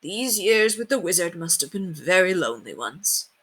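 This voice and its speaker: A woman voicing a noble, warm-hearted male knight in a storybook reading. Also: fairly low pitch, very animated, normal speed, breathy edge, heavily acted. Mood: tender.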